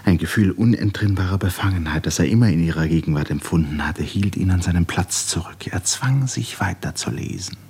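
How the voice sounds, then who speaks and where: Husky low-voiced male radio play narrator. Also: fairly quick, hardly any pauses, a little mellow.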